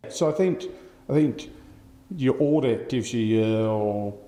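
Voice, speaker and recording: A man's voice: mid-range voice, slow, dark tone, echoey room. A man in his forties with an English accent, answering interview questions thoughtfully with many fillers and restarts. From England. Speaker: podcast guest.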